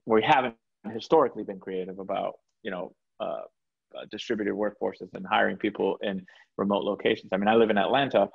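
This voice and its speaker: Guy from south florida on a video call, kinda husky and low, muffled audio, talks emphatic and chatty. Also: steady tone, a little fast. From South Florida, USA. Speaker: podcast co-host. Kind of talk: casual video-call chat. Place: home room, indoors.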